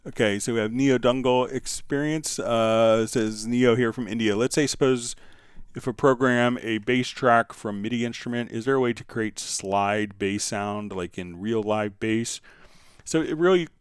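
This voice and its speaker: A man hosting a casual live music software tutorial, answering viewer questions in a halting, thinking-aloud way. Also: medium pitch, smooth, dry recording, clean studio sound.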